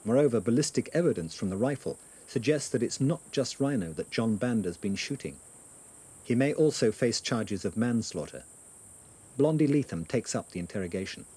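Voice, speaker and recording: A man narrating a documentary in a measured, grave voice. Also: mid-range voice, expressive, warm tone, husky.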